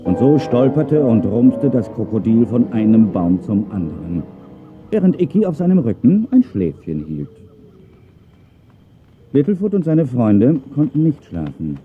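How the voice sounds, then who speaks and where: A man's voice: mid-range voice, very animated, a little slow. A man narrating a children's audio drama expressively from a script. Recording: narrow phone-like sound, faint music.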